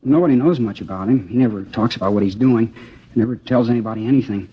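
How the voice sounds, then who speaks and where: An actor playing a seventeen-year-old boy, talking in a casual, slangy, halting way in an old radio crime drama. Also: medium pitch, slightly rough, lightly acted. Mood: hesitant, matter-of-fact. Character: seventeen-year-old boy informant, hesitant but cooperative. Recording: dull old radio recording.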